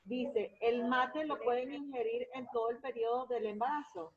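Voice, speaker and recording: Dull, lo-fi call audio carries a woman's slightly high voice. She moderates a webinar in a casual way, hesitating and repeating words. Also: fairly even intonation, moderate pace. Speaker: moderator reading audience questions.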